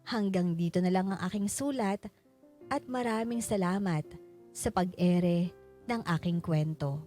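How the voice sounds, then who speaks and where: An actress playing a sorrowful widow, narrating her letter in an earnest, measured way for a radio drama. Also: fairly low pitch, dark tone, soft background music, lightly acted. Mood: reflective, sorrowful.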